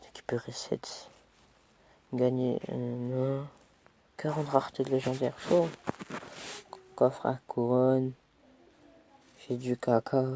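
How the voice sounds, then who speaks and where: A man's voice: medium pitch, darkish tone, a little hiss or hum. A young man with a cold, casually commentating his own mobile game match, chatty and self-mocking, muttering as he plays. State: stuffy with a cold.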